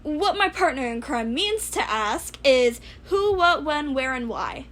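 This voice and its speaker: A woman voicing a bold, excitable, pushy teenage girl inventor with a brash, emphatic manner in a story reading. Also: sits high, pitched above the performer's own voice. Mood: brash, excited. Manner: rapid-fire, brash, emphatic.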